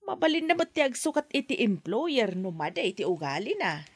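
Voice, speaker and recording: A woman's voice: fairly high pitch, sing-song, a little fast, husky, no echo. A woman playing a mother whose daughter works abroad in a radio drama, speaking in a scolding, defensive way. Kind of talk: Ilocano radio drama acting.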